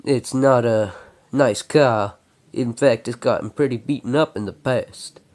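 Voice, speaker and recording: Young Texan farmhand played by a man, folksy, reflective storybook narrator, dark relaxed tone, deliberate delivery. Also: medium pitch, lightly acted. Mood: matter-of-fact. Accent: attempted Texan. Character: young Texan farm boy recounting his life story. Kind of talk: first-person story narration.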